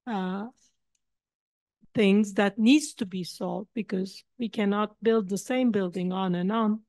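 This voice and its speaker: A woman's voice: medium pitch, steady tone, slow, a little mellow, dry recording. A woman architect in the audience asking a long, rambling question.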